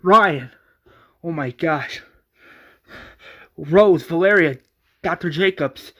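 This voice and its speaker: Male storyteller as caring young woman in action tale, alarmed, raised pitch. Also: takes it slowly, fairly bright tone, lo-fi sound. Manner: raised, anxious exclaiming.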